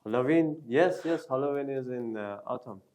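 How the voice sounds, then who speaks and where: A man with a Persian accent, a native Persian speaker co-hosting a casual chat, slow and hesitant with many fillers. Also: mid-range voice, darkish tone. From Iran. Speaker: co-host.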